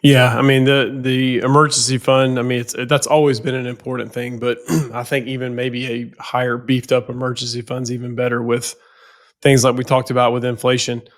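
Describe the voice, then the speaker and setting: A man's voice: medium pitch, little pitch movement, brisk. A financial planner with a head cold, sounding nasal, talking casually on a podcast and often repeating words as he goes.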